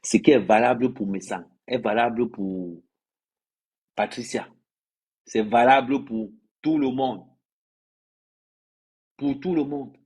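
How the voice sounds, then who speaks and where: A man's voice: mid-range voice, a little mellow, husky. A man from Cameroon, an influencer ranting on a livestream, scolding his audience and hammering home phrases by repeating them. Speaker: social media influencer. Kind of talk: livestream rant to followers.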